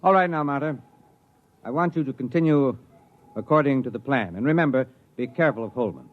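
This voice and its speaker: Actor as authoritative starship commander, muffled vintage radio. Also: male voice, medium pitch, expressive, close to an everyday voice. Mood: measured, grave. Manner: authoritative, deliberate military formality. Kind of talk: 1950s radio acting.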